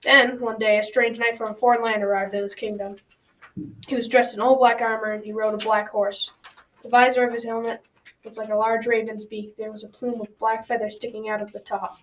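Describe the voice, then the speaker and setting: A woman's voice: fairly high pitch, level tone, natural voice. A young girl playing a kindly, folksy old grandfather in a school radio drama. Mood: storytelling. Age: child or teen. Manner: folksy, measured advice-giving. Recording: slightly distant school recording.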